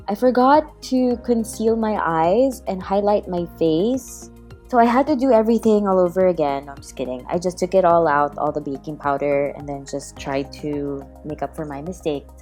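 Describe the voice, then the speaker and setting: Female beauty vlogger, slightly low voice, casual and self-deprecating tutorial narration. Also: darkish tone. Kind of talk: casual makeup tutorial voice-over. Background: background music.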